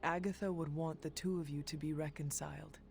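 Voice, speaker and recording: A woman playing a stoic but compassionate warrior hero in a video game, speaking in a measured, formal way. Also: low-pitched, steady tone, creaky, darkish tone, a little hiss or hum. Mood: firm, reassuring. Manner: measured, formal, few contractions.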